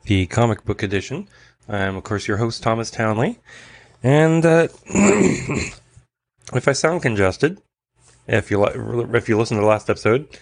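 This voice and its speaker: A man's voice: mid-range voice, no echo. A congested man hosting a comic book news podcast, chatting casually with lots of fillers and restarts. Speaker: podcast host.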